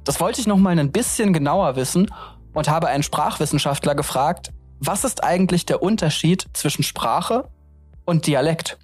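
Host: man, a bit high, friendly interviewer, soft music under him. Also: moderate pace, slightly rough. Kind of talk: radio-style interview and narration.

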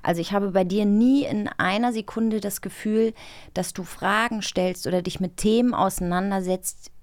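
A woman's voice: mid-range voice, steady tone, normal speed, dry recording. A woman chatting casually as the interviewer on a podcast.

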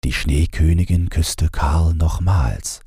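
Bedtime audiobook narrator: man, deep and very breathy, close dry sound, measured gentle delivery. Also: no room sound. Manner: calm, measured, gentle storytelling. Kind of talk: bedtime fairy-tale narration, read from script.

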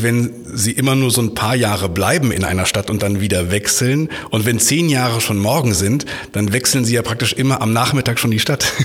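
A man's voice: on the low side, no echo. Playful male podcast host. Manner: lively, playful, teasing questions. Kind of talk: podcast interview, presenting.

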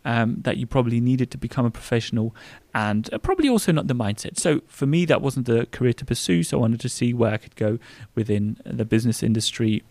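A man's voice: mid-range voice, animated, fast talker, a little mellow, no echo. A man in his thirties with a German accent, chatting casually as a podcast co-host with a lot of fillers. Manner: chatty, rambling with frequent fillers.

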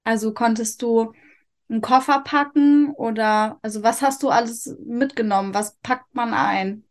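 A woman hosting a podcast, reading a scripted introduction and then gently interviewing her guest, rephrasing her answers. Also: on the high side, normal speed. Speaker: podcast host.

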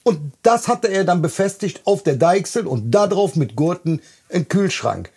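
A man, co-hosting a podcast and telling stories with lively enthusiasm. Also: sits high, moderate pace, breathy edge. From Bonn, Germany. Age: 40s. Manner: animated, enthusiastic storyteller. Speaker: podcast co-host. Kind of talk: casual chat.

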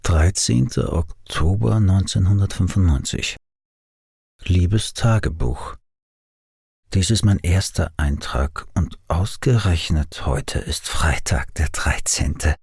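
A pensive man reads an old diary aloud in a radio play, very slowly and musingly. His voice is low and strongly husky, with gravel in it, recorded close and dry. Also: darkish tone, no echo, full character voice.

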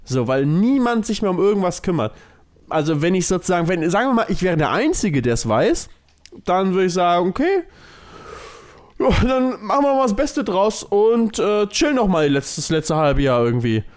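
A man's voice: high-pitched, sing-song, moderate pace. A man co-hosts a casual podcast. He talks colloquially, explaining things and offering opinions as in everyday conversation. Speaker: podcast co-host.

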